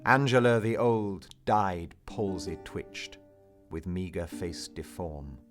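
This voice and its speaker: A man narrating poetry as a dramatic recital, measured and theatrical, with strong swells and hushed endings. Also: deep voice, soft background music.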